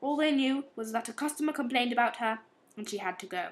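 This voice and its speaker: Stern, deliberate inspector for amateur radio play, high register female performer. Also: lively intonation, natural voice. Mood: measured. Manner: measured, deliberate, firm. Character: stern, insistent, morally severe police inspector.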